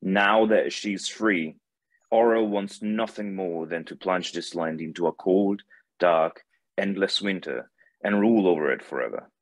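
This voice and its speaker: A man acts out a benevolent god of light for an animated fantasy. The voice is very deep and solemn, with grave, measured phrasing. The sound is dry and close. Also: dry recording. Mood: grave, narrating. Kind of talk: animated fantasy script table read.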